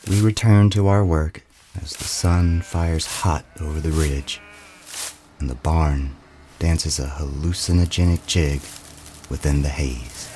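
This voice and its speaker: A man narrating a scripted first-person story in a dramatic, brooding, deliberate manner. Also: a bass voice, warm tone, a little hiss or hum.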